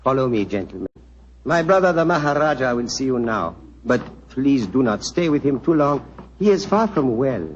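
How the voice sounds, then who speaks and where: A man's voice: mid-range voice, sing-song, natural voice. Courteous, worried Indian prince, male actor, formal delivery, vintage radio drama with dull sound and faint noise. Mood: solemn concern. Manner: formal, earnest, deliberate phrasing. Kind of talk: 1940s radio drama acting. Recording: muffled, slightly noisy 1940s radio recording.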